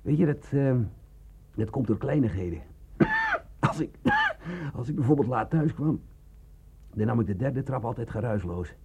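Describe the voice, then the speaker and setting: A man in a radio drama playing a sick, wry young man in hiding, with a rough voice and an ailing delivery. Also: mid-range voice, huge pitch swings, measured pace, a little mellow, full character voice. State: coughing, ill with pneumonia. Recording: muffled, tinny lo-fi radio play recording.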